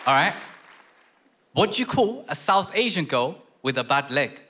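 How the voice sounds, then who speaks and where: Man, co-host, bright tone, jokey banter, faint crowd behind. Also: on the high side, big pitch swings. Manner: quick, jokey banter introducing acts. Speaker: comedy show co-host. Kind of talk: live show hosting. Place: live theatre stage.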